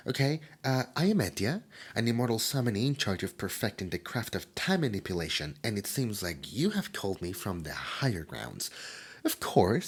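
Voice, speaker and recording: A chatty immortal demon of time, voiced by a man, rambling self-consciously with ums and little asides. The voice sits low and husky but swings widely in pitch, and now and then it sinks into a soft whisper for this ASMR roleplay. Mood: friendly. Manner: drops into soft whispers at times.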